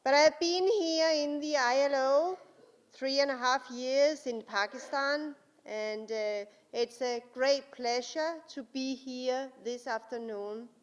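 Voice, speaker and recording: A woman with a Danish accent, a native Danish speaker, giving a formal conference speech as a guest speaker. She talks slowly and deliberately, pausing with frequent fillers. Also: high voice, clean tone, dull audio. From Denmark. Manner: deliberate, slow and careful, with frequent uh pauses. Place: large conference hall. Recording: long hall reverb.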